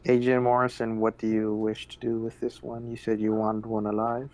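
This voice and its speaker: A man playing a tabletop roleplaying game, describing his character's actions in a casual, halting way. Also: fairly low pitch, completely flat delivery, a little mellow, slight background noise.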